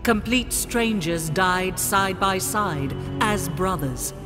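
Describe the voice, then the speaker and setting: An actress playing a solemn narrator of a grim space war in a video game cutscene, speaking gravely and with measured weight. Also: female voice, medium pitch, lively intonation. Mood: grave, portentous. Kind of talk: dramatic scripted cutscene narration. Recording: long cavernous reverb, music underneath. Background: dramatic music.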